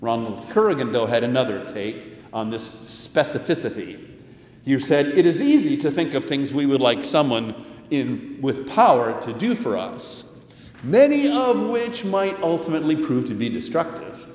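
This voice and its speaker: A male pastor delivers a sermon in a big, reverberant hall. His mid-pitched voice rises and falls with lively swings as he stresses key phrases and pauses deliberately. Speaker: pastor preaching. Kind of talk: sermon with scripture and commentary quotes. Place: large echoing church or hall. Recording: echoey hall with long reverb.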